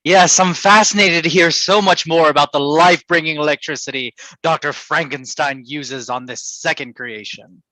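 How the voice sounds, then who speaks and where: A woman's voice: low voice, normal speed, few pauses, a bit bright, no room sound, rough recording. A woman playing an arrogant, teasing male poet in a period drama reading, with a mocking, theatrical drawl. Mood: skeptical, mocking.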